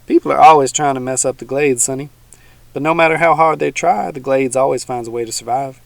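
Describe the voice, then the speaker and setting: A man voicing a gruff, menacing old male swamp hermit with a folksy backwoods drawl. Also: medium pitch, a little fast, no echo, natural voice.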